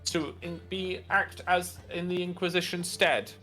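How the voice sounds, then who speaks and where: A man voicing a tall, pompous noblewoman who claims to rule a town, speaking in a high, haughty, declaiming voice. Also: fairly even intonation, a little slow, bright, forceful tone, faint music behind.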